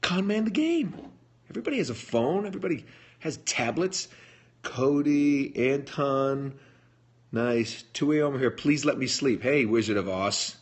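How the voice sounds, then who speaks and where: A man's voice: medium pitch, expressive, measured pace, breathy edge. A middle-aged man hosting a casual live stream, chatty and jokey, reading fan comments aloud and answering them. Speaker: livestream host answering fan questions. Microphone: phone livestream.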